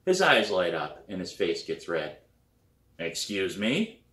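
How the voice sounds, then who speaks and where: A middle-aged man reading his novel aloud as a storybook narrator, with an expressive, dramatic delivery. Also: sits low, moderate pace. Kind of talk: storybook read-aloud, first-person narration. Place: small living room, indoors. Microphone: camera a few feet away. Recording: roomy, echoey living room.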